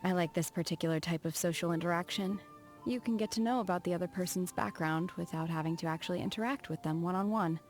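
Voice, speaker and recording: A woman voicing an aloof, soft-spoken young apprentice of immortals in a video game, measured, reserved and formal. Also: on the low side, lightly acted. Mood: calm, softly interested. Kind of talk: scripted video game character voice lines. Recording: music behind the voice.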